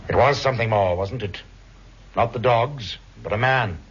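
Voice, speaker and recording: Suave, low-voiced cruel mastermind. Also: male voice, moderate pace, breathy edge. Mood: cold, suspicious. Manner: silky, formal, mocking, controlling. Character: suave, cruel male criminal mastermind, menacingly polite. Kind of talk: 1960s radio crime drama acting. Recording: muffled vintage radio recording, faint noise.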